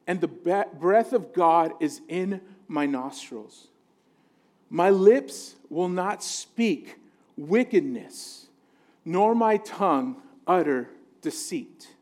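A man's voice: fairly high pitch, darkish tone. A man preaching an informal sermon as a pastor, talking conversationally and jokingly and often checking in with his listeners for agreement.

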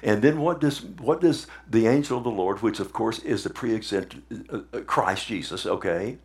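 A man preaching a sermon, animated, often asking the congregation "Amen?" and retelling scripture in his own words. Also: on the low side, moderate pace, gravelly. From Texas.

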